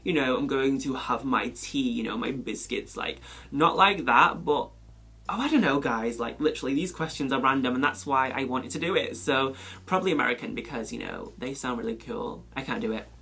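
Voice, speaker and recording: A young man with a Mancunian accent, talking in a mid-pitched voice. He rambles chattily, filling gaps with "like" and "you know", and his voice jumps high when he exclaims. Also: expressive, normal speed, vocal fry, bright, forceful tone, slightly rough. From England. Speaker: beauty vlogger. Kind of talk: casual chat answering a question tag.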